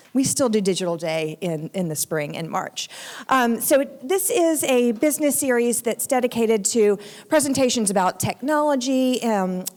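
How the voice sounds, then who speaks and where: A woman's voice: fairly high pitch, a little mellow. A woman general manager giving friendly, loose welcome remarks at a conference, with many ums. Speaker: general manager and event host. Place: large event hall with live audience. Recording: long hall reverb.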